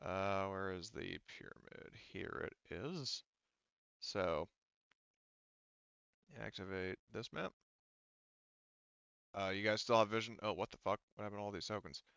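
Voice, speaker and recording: Male game master, smooth low voice, halting conversational narration, rephrases mid-thought, slightly muffled dry recording. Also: fairly even intonation, a bit bright, dry recording. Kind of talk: improvised tabletop game narration and casual rules talk.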